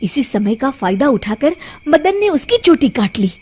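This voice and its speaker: A woman's voice: sits high, big pitch swings, measured pace, a little mellow, a little hiss or hum. A woman narrating a scripted children's moral story as a storyteller. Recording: background music under the voice. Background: music.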